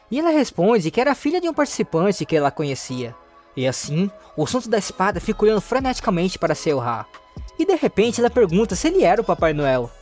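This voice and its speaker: Story recap narrator, Brazilian accent, mid-pitched voice with animated intonation, light music underneath. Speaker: narrator of a manhwa recap channel.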